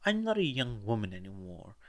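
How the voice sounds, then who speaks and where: A man's voice: fairly low pitch, very animated, brisk. Man voicing a politely menacing middle-aged mother. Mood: icy, resolute.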